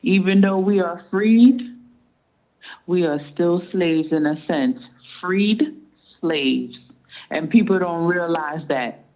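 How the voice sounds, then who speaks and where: A woman calling in to a radio talk show, making deliberate, measured points. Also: lowish voice. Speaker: guest caller. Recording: tinny phone line.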